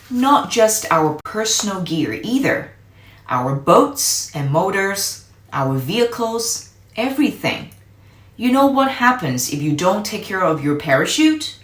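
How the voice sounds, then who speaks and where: Storybook uncle read by a woman: disciplined military man, serious mentor, measured pace, firm emphasis, echoey room. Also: fairly low pitch, voice pushed up. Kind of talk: storybook character voice.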